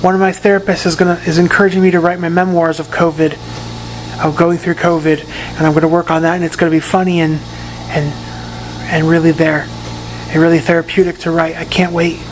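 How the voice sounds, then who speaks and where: Hoarse man with a raspy voice. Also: on the high side, flat delivery, slight background noise, low-quality recording. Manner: halting, often repeats and restarts words. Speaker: patient giving a recovery update. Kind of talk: casual video update. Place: private room in a rehab facility.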